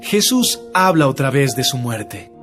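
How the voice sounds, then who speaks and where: Animated male title announcer over guitar. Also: medium pitch, a little slow. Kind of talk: scripted title reading. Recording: guitar music behind.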